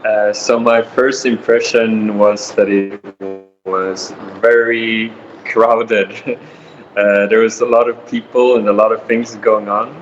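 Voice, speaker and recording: A man's voice: fairly low pitch, little pitch movement, takes it slowly, highs cut off, soft background music. A man of twenty-one from Sweden, answering interview questions in a hesitant, thoughtful way. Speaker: interview guest.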